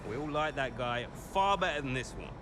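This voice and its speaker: Actor as a lively, cocky racing man. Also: mid-range voice, moderate pace, natural voice. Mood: needling, frank. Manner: casual, hesitant fillers, matey. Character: cocky, needling male ex-racing driver, smooth talker. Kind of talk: dramatic game cutscene dialogue. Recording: clear background noise of cars outdoors.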